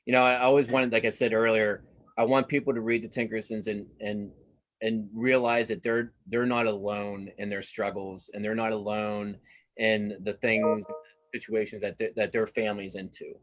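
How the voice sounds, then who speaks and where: A man's voice: fairly low pitch, level tone, normal speed. A man speaking casually as an interview guest, a cartoonist and writer who often repeats words and restarts his phrases.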